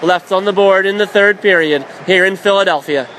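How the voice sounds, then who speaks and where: A man calling radio play-by-play of a hockey game, climbing to shouts on shots and saves. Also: sits high, normal speed, a crowd in the background, background noise. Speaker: play-by-play announcer.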